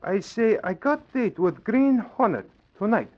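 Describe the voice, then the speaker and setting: A man's voice: sits high, big pitch swings, unhurried, full character voice. A man playing a stubborn, cocky island crook in clipped broken English, in 1940s radio drama style. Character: tough, stubborn male Pacific island crook, cocky and unruffled. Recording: dull old 1940s radio recording.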